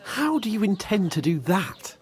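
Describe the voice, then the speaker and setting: Sarcastic hot-headed young noble, medieval game, super swingy voice. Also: male voice, high-pitched, sing-song, moderate pace, breathy edge, exaggerated voice. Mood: sarcastic, exasperated. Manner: sarcastic, swearing, griping. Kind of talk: video game cutscene acting.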